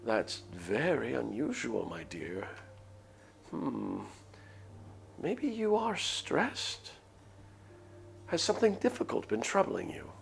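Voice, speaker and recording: Guy doing a sweet old granny from a visual novel, high voice, fussy-kind and concerned, stretches words out, bit of noise. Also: very animated, gravelly, full character voice. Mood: gentle concern. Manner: gentle, motherly, drawn-out words. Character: kindly old village woman, doting and concerned. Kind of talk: visual novel character read aloud.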